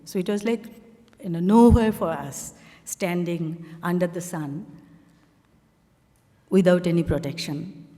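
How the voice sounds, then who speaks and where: Bangladeshi woman artist, mellow voice. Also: lowish voice, long reverb tail. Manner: halting, frequent fillers, plain storytelling. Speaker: artist presenting her work on a panel. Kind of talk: conversational talk walking through slides.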